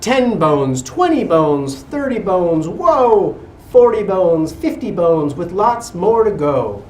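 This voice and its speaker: A man giving an expressive picture-book reading to children, pausing for little asides. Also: high-pitched. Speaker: guest reader at a school. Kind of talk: animated picture-book read-aloud to children. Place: indoor school room with children. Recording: roomy, distant mic with background noise.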